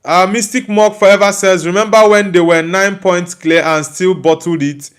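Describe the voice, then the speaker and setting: Young Nigerian male streamer, slightly high voice, relaxed and halting, reading live chat. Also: clean tone. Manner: loose, hesitant talk with many fillers and restarts. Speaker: football channel host reading live chat.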